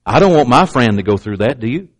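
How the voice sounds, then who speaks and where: A man's voice: mid-range voice, very animated, quick pace. Fiery preacher man, slight stutter. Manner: emphatic, repetitive pulpit delivery with pointed asides; slight stutter, clamps up on words. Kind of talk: sermon.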